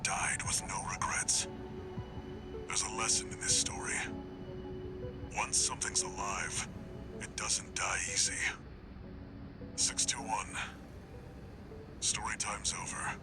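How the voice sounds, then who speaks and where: A man playing a stern, somber older mercenary handler in a video game, speaking tersely in a hushed, gravelly voice. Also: fairly low pitch, flat delivery, takes it slowly, long pauses, over loud music. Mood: somber storytelling.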